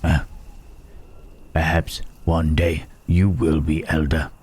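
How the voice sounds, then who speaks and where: A man playing a gentle, melancholy Frankenstein's monster in an intimate ASMR roleplay, speaking haltingly and formally in a husky, breathy rasp. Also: very deep voice, flat delivery, heavily acted. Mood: wry, resigned. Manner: slow, halting, archaic formal phrasing with long pauses.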